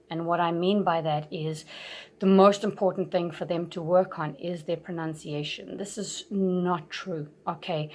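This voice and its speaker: A woman from gives a loose, chatty lesson straight to camera. Her voice sits a little low, and she fills her pauses with ums and uhs as she circles back to restate her points. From South Africa. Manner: chatty, many fillers and restarts. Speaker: English teacher. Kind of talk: casual talk to camera.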